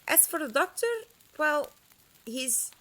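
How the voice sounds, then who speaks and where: A woman's voice: sits high, slow pace, fairly bright tone. A woman playing a plucky, kind young traveller in an audio drama, with an informal, frank manner. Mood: candid, uncertain.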